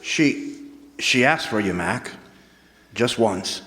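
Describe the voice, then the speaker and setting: A man playing a grave, regretful hospital doctor in an old-time radio drama, speaking haltingly and somberly. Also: lowish voice, expressive, pushed and bright, exaggerated voice. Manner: hesitant, somber, halting delivery. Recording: echoey hall stage recording.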